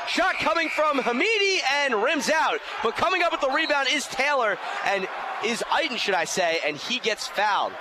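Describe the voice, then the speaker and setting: A young man giving live basketball play-by-play, excitable and raising his voice on big plays. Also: high voice. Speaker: play-by-play announcer and sports show host. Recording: noisy gym ambience, bouncing ball. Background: basketball game in an indoor gym.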